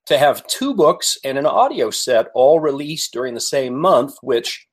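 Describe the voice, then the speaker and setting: Mid-pitched American man, measured. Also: lively intonation, breathy edge. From United States. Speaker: podcast guest, author and coach. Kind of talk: conversational interview.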